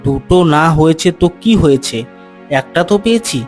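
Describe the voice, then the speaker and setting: A man voicing a poor, gentle farmer father in a cartoon story, with a plaintive, pleading manner. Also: fairly high pitch, animated, a little fast, lightly acted. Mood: resigned. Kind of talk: cartoon moral story dialogue. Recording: music under the voice.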